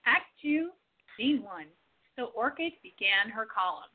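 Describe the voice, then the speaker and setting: A woman's voice: on the high side, animated, a little slow. A woman reading scripted narration and stage settings for a radio play. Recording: tinny narrow-band phone-like audio.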